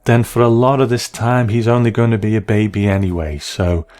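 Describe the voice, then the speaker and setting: A man hosting a show gives measured, thoughtful commentary in a conversational, analytical way. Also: fairly low pitch, fairly even intonation, a little mellow. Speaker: podcast host reviewing a TV episode.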